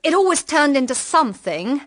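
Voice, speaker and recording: A woman's voice: sits high, very animated, moderate pace, a bit bright. An actress playing a naive, cheerful, sheltered young woman in a period radio drama, with a girlish, upper-class English manner. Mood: gushing. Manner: gushing, girlish.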